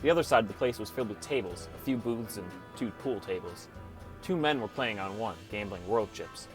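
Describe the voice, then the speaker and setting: A man's voice: on the low side, animated, a little fast, lightly acted, rough recording. An actor playing a downcast teenage boy who narrates his gaming adventure in an understated, wry first-person audiobook style. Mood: reflective narration. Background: music.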